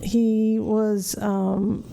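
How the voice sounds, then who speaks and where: Slow, creaky middle-aged woman podcaster. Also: medium pitch, level tone, mellow. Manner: blunt, profane. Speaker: podcast host. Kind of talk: casual watch-along commentary.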